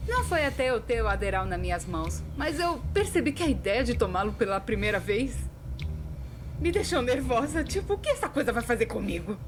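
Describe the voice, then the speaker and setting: A Brazilian woman voicing a chatty, self-deprecating female animator in an expressive, conversational scripted narration. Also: a little high, a little fast, background noise. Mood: chatty, candid. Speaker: voice actor in a fan dub. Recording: music behind the voice. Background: music.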